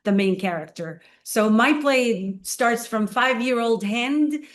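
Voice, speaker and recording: A woman from Israel, a playwright and performer, telling her personal story in a sit-down interview in an earnest, measured way. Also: fairly high pitch. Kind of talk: conversational interview.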